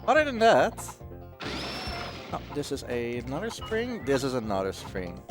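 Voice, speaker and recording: A man, non-native in English, commentates casually on his own video game run. His pitch moves a lot and he rambles into wry asides, with game music clearly behind him. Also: huge pitch swings, measured pace. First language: not English. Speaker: speedrunner. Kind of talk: casual live gameplay commentary.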